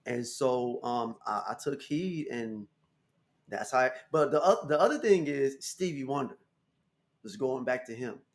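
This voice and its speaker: An American man in his thirties, a guest musician telling stories in a casual interview, animated and laughing often. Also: measured pace. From United States. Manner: animated, laughing, jumps into high voice for quotes.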